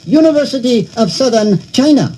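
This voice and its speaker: A man's voice: extremely high pitch, lively intonation, normal speed, mellow, low-quality recording. A man voicing a pompous elderly male philosopher in a cartoon, speaking in stilted, clipped broken English.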